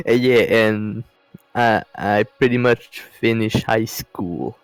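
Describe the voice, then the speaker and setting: A young man from Quebec, a native French speaker with a Quebec French accent, giving terse, halting short answers as a guest. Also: on the low side, smooth, low-quality recording. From Quebec, Canada.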